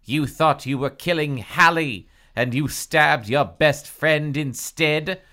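A woman's voice: deep voice, takes it slowly, a bit bright, pitched above the performer's own voice. A woman voicing a suspicious, accusing teenage girl from a thriller story, insistent and melodramatic. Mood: indignant.

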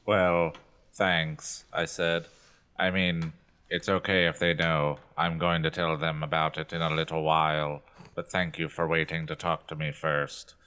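Male podcast reader with a low voice, casual and playful, mixing book narration with asides. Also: level tone, a little slow, sharp tone, clear, resonant tone. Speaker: podcast co-host. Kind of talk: reading a novel aloud with joking asides.

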